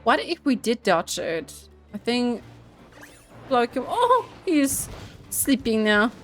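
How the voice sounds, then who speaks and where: A woman's voice: fairly high pitch, very animated, slow, faint music behind. A woman giving casual gameplay commentary, reading game text aloud and cheering her pet with giggles. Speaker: gaming video creator.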